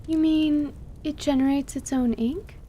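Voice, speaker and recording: Bookish lady wizard, acted by a woman: guarded, precise, formal, mellow tone, slightly high, fantasy audio drama. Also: fairly even intonation, measured pace, slight background noise. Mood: measured. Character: bookish, guarded female wizard who over-explains magic.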